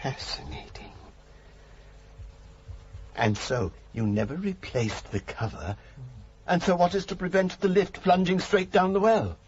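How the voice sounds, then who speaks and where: Fussy, nervous British gentleman, animated. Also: sits high, measured pace, long pauses, dull audio. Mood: fascinated, dreamy. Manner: hesitant, stammering, apologetic, anxiously polite. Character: timid, nervous, fussy man with a guilty past. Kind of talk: British radio drama acting.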